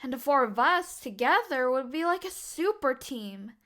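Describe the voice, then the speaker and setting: Woman acting a high-voiced, mouthy young girl vigilante. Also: very animated, moderate pace, pushed and bright, heavily acted. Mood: pleading. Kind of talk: comic dub voice acting.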